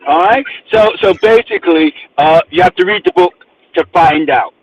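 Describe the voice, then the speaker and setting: A man's voice: sits high, huge pitch swings, moderate pace, vocal fry, lo-fi sound, a little hiss or hum. A man from New Jersey, a guest author, telling stories with emphasis and acting out the things people say to him. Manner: emphatic storyteller, acts out quotes. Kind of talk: animated interview talk.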